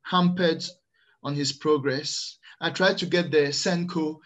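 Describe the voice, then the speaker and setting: A middle-aged man from Ghana, the Netherlands and France, telling his own story in a hesitant, measured way on a video call. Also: on the high side, fairly even intonation, fairly bright tone. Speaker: interview guest, early years teacher. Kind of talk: personal storytelling in an interview. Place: small plain room indoors, on a video call. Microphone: computer microphone on a video call.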